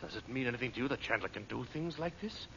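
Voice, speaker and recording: A man's voice: medium pitch, lively intonation, quick pace, gravelly, a bit bright, lo-fi sound. An actor playing a nervy, defensive henchman in old radio drama style, earnest, dramatic and pleading. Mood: agitated, insistent. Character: rattled, defensive henchman reporting to his boss. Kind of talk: 1930s-40s radio drama acting. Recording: noisy, band-limited old radio recording.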